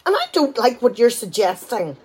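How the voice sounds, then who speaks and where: A practical, firm wife, played by a woman in a domestic radio play. Her voice sits a little high with a husky edge and plenty of melodic movement, and she talks in a brisk, everyday way. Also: very animated, a little mellow. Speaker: amateur radio play actor.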